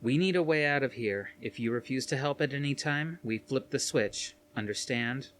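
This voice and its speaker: A man's voice: mid-range voice, normal speed, fairly bright tone, slight background noise, pitched above the performer's own voice, natural voice. A man reading the part of a tough, commanding action heroine in an audiobook, terse and firm. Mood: firm, threatening.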